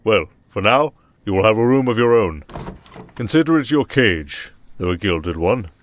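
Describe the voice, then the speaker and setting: A man playing a stern, dignified aide at a vampire court in an ASMR roleplay, formal, measured and courteous. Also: on the low side, expressive, a little hiss or hum, close to an everyday voice. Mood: formal, composed.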